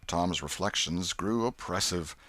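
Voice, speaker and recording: A man reading a classic story as an audiobook narrator, with measured, expressive delivery. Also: low voice, dry recording.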